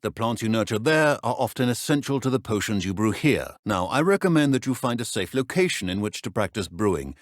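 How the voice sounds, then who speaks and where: An actor playing a stern, dry-witted middle-aged British potions professor in a video game, speaking in a measured, exacting, deadpan manner. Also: male voice, fairly low pitch, no room sound, lightly acted. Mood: dry, composed. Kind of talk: scripted game dialogue.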